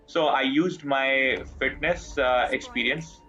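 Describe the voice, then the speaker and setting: A man's voice: mid-range voice, steady tone, takes it slowly, sharp tone, muffled recording, soft background music. A man from Pakistan chatting casually and candidly as he live-streams a game, informal and reflective. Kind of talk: casual live-stream chat during gaming.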